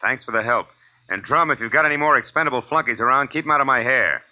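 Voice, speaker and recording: An actor playing a hard-boiled, sardonic private detective in a clipped 1940s radio drama style. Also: male voice, medium pitch, quick pace, close to an everyday voice. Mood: blunt, needling. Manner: clipped, sardonic tough-guy delivery. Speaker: lead actor and narrator. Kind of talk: 1940s radio detective acting, first-person narration. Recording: dull old radio recording.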